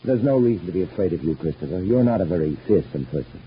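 A muffled old radio serial with faint hiss. a deep-voiced family doctor, patient and concerned, reasoning in measured, soothing phrases. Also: male voice, animated. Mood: weary, reassuring. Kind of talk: old radio soap opera acting. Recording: dull, noisy old radio recording.

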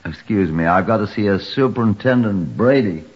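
An actor playing a dry, reserved police inspector, formal and measured, in an old-time radio drama. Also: male voice, lowish voice, lively intonation, breathy edge. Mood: curt, questioning. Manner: dry, terse, formal when narrating. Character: dry, reserved male police inspector narrating his case. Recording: dull, muffled old radio recording.

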